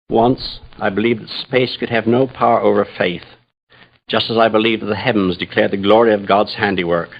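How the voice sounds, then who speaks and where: A low, gravelly male voice with a husky edge as a troubled Jesuit scientist in deep space. He speaks in solemn, measured reflection, like a confession in a radio drama. The recording is slightly dull. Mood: sorely troubled. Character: troubled, grave Jesuit astrophysicist priest aboard a starship. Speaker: dramatic narrator. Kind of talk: first-person radio drama monologue.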